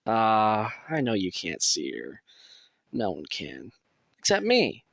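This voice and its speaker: A man performs a young asylum patient in an audio drama, nervous but amiable. He speaks slowly and hesitantly, as if sharing secrets. His voice has some creak and moves freely up and down. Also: on the low side, huge pitch swings, a bit bright, no echo, exaggerated voice. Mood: friendly, confiding. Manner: hesitant, confiding, jittery. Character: nervous, friendly young male asylum patient, quietly defiant. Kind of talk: audio roleplay acting.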